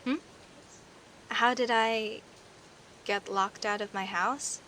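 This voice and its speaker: A woman playing a friendly, teasing young werecat neighbor in an audio roleplay, chatting playfully to the listener with hesitant pauses and theatrical emphasis. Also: on the high side, fairly even intonation, pushed and bright, slight background noise. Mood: amused, friendly. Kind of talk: audio roleplay, casual one-sided conversation with the listener.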